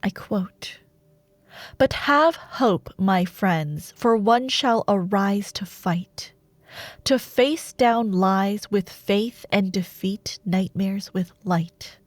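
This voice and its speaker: A woman playing a taunting, imperious dark warlord sorceress in a roleplay audio drama, speaking in a theatrical, sardonic way with lingering pauses. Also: medium pitch, dry recording, lightly acted. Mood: solemn reciting.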